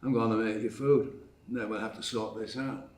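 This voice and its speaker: A man reading a steady old widowed farmer. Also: fairly low pitch, a little fast, lightly acted. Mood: gentle. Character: widowed older male farmer, calm and protective. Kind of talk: live script table read. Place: theatre stage. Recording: echoey hall from far off.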